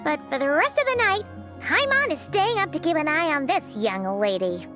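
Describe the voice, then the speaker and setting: A tiny fairy sidekick from a video game, with a very high, childlike voice that swings up and down. She is perky, excitable and protective, and music plays clearly behind her. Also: normal speed. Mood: suspicious, grumpy. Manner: refers to self in third person, exclamatory. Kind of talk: animated video game voice acting.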